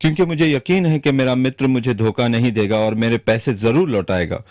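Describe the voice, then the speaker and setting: A man playing a kind, honest moneylender in a moral-story cartoon, speaking in a gentle, earnest way. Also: mid-range voice, fairly quick, no room sound, clean studio sound, close to an everyday voice. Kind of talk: animated moral-story dubbing.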